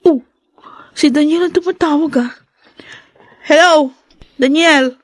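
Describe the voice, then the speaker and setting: A giggling, wheedling young mistress, played by a woman in a radio drama, scheming behind a sweet voice pitched a little high. The recording is dry and close. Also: takes it slowly, no echo. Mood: gloating. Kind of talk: Filipino radio drama acting.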